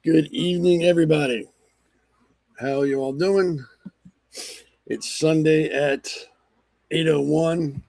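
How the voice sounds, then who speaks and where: A man's voice: on the high side, fairly even intonation, takes it slowly, vocal fry, pauses often, darkish tone, no room sound. A middle-aged man with a cold, hosting his own talk show in a blunt, rambling, sarcastic monologue. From Maryland. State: hoarse from a cold. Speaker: podcast host. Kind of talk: casual opinion monologue.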